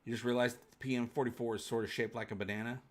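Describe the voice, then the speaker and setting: A man's voice: medium pitch, flat delivery, quick pace. Male livestream host, jokey and colloquial, loose off-the-cuff rambling, mid-range voice. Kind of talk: casual chat, answering live viewer comments.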